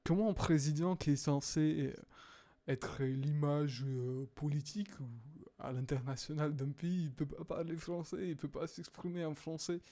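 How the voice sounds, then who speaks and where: A young man casually reacting to videos, hesitant with many fillers, sometimes bursting into loud exclaiming. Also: a little high, steady tone, unhurried. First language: not English.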